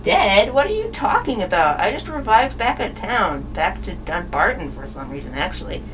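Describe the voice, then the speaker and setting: A woman's voice: medium pitch, big pitch swings, moderate pace, distant mic, slight background noise, natural voice. A woman voicing a casual, puzzled young character in a puppet show, with matter-of-fact delivery.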